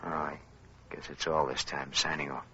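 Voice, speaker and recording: Grave male radio operator, very deep, sharp, husky, folksy, muffled vintage broadcast. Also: level tone, moderate pace, exaggerated voice. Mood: grave, resigned. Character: grave male survivor reporting bad news by radio. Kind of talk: old-time radio drama acting.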